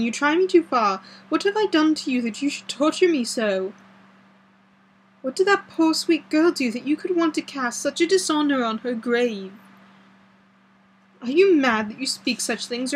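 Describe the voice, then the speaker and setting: A woman's voice: high-pitched, lively intonation, normal speed, voice pushed up, low-quality recording. A woman reading an anguished, indignant young lord in an audiobook, with impassioned, formal exclamations. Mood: outraged.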